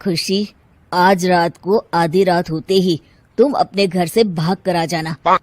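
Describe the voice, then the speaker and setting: A woman takes on a young male lover plotting in a cartoon tale. The voice is on the low side and conspiratorial, handing out instructions, recorded dry. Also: steady tone, takes it slowly, no room sound. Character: young man, secret lover, conspiratorial schemer. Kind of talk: Hindi cartoon story voice acting.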